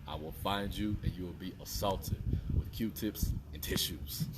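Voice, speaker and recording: A deep-voiced man in his thirties records an earnest fitness pep talk outdoors by a fountain. He sits back from the mic, and a clear hiss of outdoor sound runs under his voice. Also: a little slow, distant mic. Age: 30s. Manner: earnest, repetitive, preachy motivational talk. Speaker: fitness vlogger. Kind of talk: casual motivational talk to camera.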